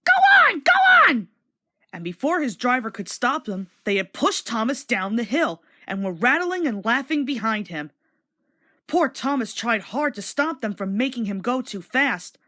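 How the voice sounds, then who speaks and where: A woman's voice: on the high side, animated, moderate pace, fairly bright tone. A woman reading a children's story aloud as a scripted read-along narrator.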